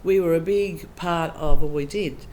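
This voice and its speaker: A woman's voice: sits low, measured pace, dark tone, slight background noise. A middle-aged woman giving a chatty, plain-spoken candidate speech at a public forum. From Australia. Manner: plain, chatty, with frequent ums. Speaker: council candidate.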